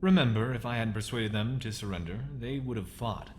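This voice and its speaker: An actor playing a persuasive space pirate leader, controlled and wry, in an audio comic dub. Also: male voice, fairly low pitch, brisk, a little hiss or hum, natural voice. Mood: controlled, bargaining. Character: smooth-talking, bargaining male space pirate leader.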